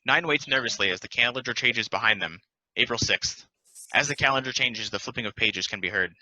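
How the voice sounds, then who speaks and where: A man narrating stage directions at a play table read in a plain, deliberate, announcing manner. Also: mid-range voice, sharp tone, dry recording, rough recording.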